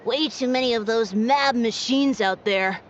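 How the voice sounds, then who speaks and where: A woman's voice: on the high side, a little slow, muffled recording, a little hiss or hum, close to an everyday voice. A woman voicing a spirited, confident village child in a video game. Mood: impatient. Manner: eager, animated, childlike. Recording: dulled game audio with music. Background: game music.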